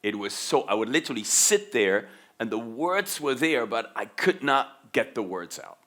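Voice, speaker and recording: A man's voice: medium pitch, expressive, normal speed, fairly bright tone. A middle-aged man from Germany who left his German accent behind as a teenager, giving a motivational keynote talk on leadership and stressing key words with repetition. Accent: faint American-schooled German. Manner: emphatic, stretches key words, repeats for stress. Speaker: keynote speaker.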